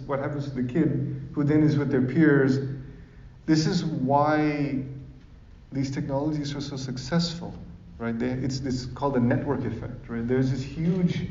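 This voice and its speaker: A man from Sri Lanka speaks informally to a room, with a mellow, darker voice. He keeps it conversational and often checks that listeners agree. The recording is roomy and a little muffled. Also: medium pitch. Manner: conversational, often seeks agreement with tag questions. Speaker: speaker giving advice to parents at a talk. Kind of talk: informal public talk with audience questions. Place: echoey room in front of an audience. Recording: roomy, dull, distant microphone recording.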